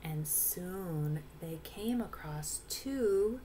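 A woman in her forties reading a picture book aloud to young children indoors, pausing to explain words. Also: fairly low pitch, expressive, noisy background. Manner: explains words and rhymes to listeners. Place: small indoor room at home.

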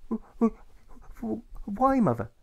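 A man's voice: high voice, big pitch swings, slow pace, creaky, highs cut off, pitched above the performer's own voice, heavily acted. A man voicing a timid little boy who stutters and pleads anxiously. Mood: anxious, pleading.

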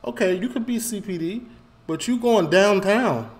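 A man talks a bit high for a man, working his thoughts out loud as he goes. He circles back, repeats phrases and gets animated. It sounds like a small room at home on a desk mic. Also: moderate pace. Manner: animated, rambling, repeats phrases, gestures while talking. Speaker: reaction video host. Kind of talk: casual commentary.

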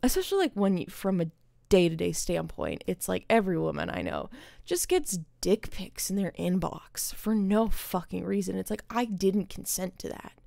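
A woman's voice: mid-range voice, sing-song, moderate pace, creaky, dark tone, dry recording, clean studio sound. A young adult woman giving casual, opinionated commentary as a gaming streamer, speaking earnestly and emphatically with some swearing. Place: indoor streaming setup.

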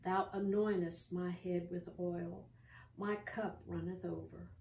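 An older woman hosting an online church show, talking gently and conversationally with many ums and restarts, then reading scripture aloud, seated in a small curtained room. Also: fairly low pitch, steady tone, slow, creaky. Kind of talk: informal devotional talk with scripture reading. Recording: roomy, distant home recording with faint hiss.

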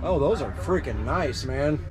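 Slangy, high-voiced vlogger man outdoors. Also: lively intonation, a little slow. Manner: laid-back, slangy, enthusiastic. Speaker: vlog host. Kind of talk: casual chat. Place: outdoor car show on grass. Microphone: action camera held at arm's length. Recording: outdoor camera audio with loud ambient noise.

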